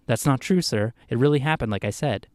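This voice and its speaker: A man's voice: medium pitch, brisk, darkish tone, dry recording, clean studio sound, lightly acted. A man voicing a nervous, defensive employee who protests earnestly and insistently in a spoken short story. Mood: indignant.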